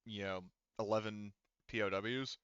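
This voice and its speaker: A man acts as game master with a bright, clear voice. He explains the game casually and informally. The recording is close and dry. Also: lowish voice, steady tone, measured pace, no room sound, highs cut off. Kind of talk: casual tabletop game narration.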